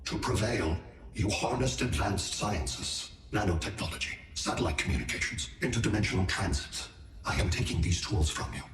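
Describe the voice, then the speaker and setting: A man playing a cold, haughty alien machine intellect in a video game, speaking in measured, formal phrases. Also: sits low, lively intonation. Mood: cold, menacing. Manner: measured, pompous, formal and deliberate. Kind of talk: video game cutscene acting. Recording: echoing voice under loud music.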